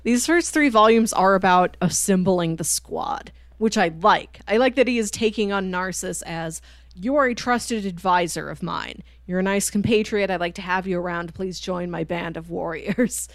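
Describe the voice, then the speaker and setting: A woman's voice: on the low side, moderate pace, no room sound, clean studio sound. A woman co-hosting a casual book discussion, giving her opinions in a reflective, conversational way. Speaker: podcast co-host.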